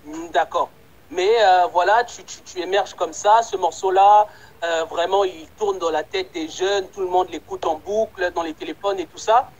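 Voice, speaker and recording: An interview host with a mid-pitched voice. The host keeps the chat casual, probing the guest and recapping each answer before moving on. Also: fairly even intonation, normal speed, muffled recording.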